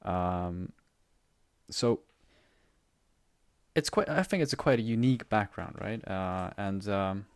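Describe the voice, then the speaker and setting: A young man hosting a casual podcast interview, halting and restarting his words with many fillers. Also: on the low side, lively intonation, clear, resonant tone, dry recording. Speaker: podcast host, university student. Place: plain indoor room.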